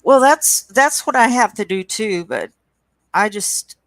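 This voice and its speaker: A woman with a croaky voice hosting a coloring livestream, chatting casually and reading chat aloud at an easy pace. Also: mid-range voice, animated, no room sound. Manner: slow, unhurried, reads chat aloud with soft asides. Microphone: webcam.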